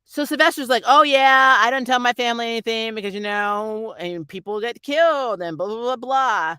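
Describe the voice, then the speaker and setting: A reviewer with a bright, forward voice at a middling pitch. The delivery is casual, slangy and opinionated, rambling along with mild exasperation. The sound is dry, with almost no room in it. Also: normal speed, clear, resonant tone, no echo. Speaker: YouTube TV series reviewer.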